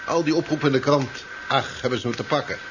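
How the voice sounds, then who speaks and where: An actor playing an unworldly, sceptical professor in a 1970s Dutch radio drama, earnest, formal and a little hesitant. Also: male voice, medium pitch, quick pace, close to an everyday voice. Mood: recalling. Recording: slightly noisy, dull 1970s radio recording.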